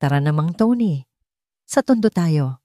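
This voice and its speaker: A woman's voice: low voice, measured pace, no echo, clean studio sound. Woman voicing a firm, commanding Filipino male boss. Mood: urgent. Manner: calm but authoritative, firm. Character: commanding, driven male boss on an urgent rescue. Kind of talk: audiobook character acting.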